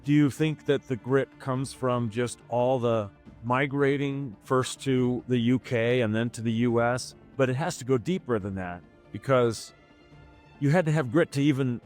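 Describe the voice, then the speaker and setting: Measured male podcast host. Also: medium pitch, darkish tone, soft background music. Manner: measured, searching for words. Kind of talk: interview questions.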